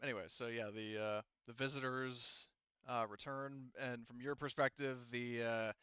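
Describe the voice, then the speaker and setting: Man, game master, halting casual narration, clean resonant voice, close dry muffled recording. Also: medium pitch, level tone, fairly bright tone, clean tone, dry recording. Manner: halting, conversational, thinking aloud.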